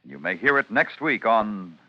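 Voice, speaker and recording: A man announcing a 1950s radio mystery program in a measured, dramatic scripted delivery. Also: on the low side, sing-song, heavy background noise. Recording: muffled vintage radio broadcast. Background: music.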